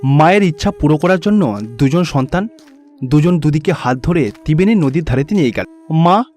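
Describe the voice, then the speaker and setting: A man with a high-pitched voice tells a scripted cartoon tale as narrator. Music plays clearly behind his storytelling. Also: animated, brisk, darkish tone.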